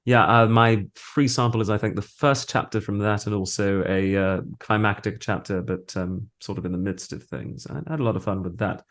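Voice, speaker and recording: Low-voiced man, halting and thoughtful. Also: fairly even intonation, fairly bright tone. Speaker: interview guest, voice actor and audiobook narrator. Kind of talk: casual interview chat.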